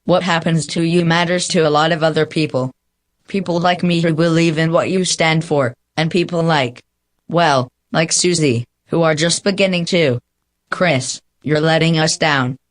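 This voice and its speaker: A man playing an earnest, quick-thinking lawyer in a radio drama. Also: a little high, fairly even intonation, close to an everyday voice. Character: earnest, quick-thinking male lawyer pleading with an old friend. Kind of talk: old radio drama acting.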